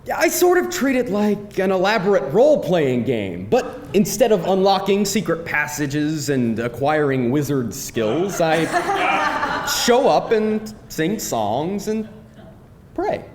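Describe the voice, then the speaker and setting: A man's voice: high voice, wildly swooping pitch, slow, heavily acted. An actor playing a quirky, dryly teasing man on a date in a live screenplay table read. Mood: earnest, sheepish. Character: quirky atheist man on a date, secretly devoted churchgoer. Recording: echoey live room, faint noise.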